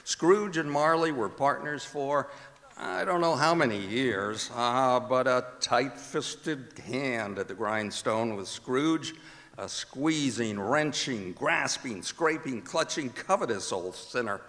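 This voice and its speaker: A man's voice: mid-range voice, slow, fairly bright tone. A man announcing and narrating a radio play on stage with theatrical, scripted delivery. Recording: reverberant performing arts hall.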